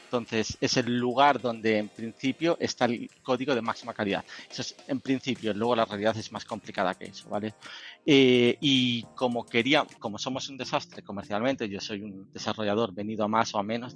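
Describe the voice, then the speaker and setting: A man's voice: medium pitch, fairly even intonation, a little fast, faint music behind. A man with a Spanish accent from Spain, a company founder telling his story in a rambling, talkative way with lots of fillers. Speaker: guest, software company founder. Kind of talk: casual interview talk.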